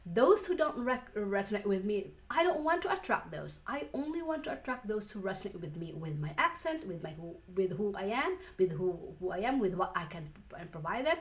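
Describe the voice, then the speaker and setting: A woman's voice: medium pitch, very animated, brisk, few pauses. Filipino-accented woman coaching an online class, earnest, restarts a lot, little laughs. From Philippines. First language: Filipino language. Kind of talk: motivational course lesson.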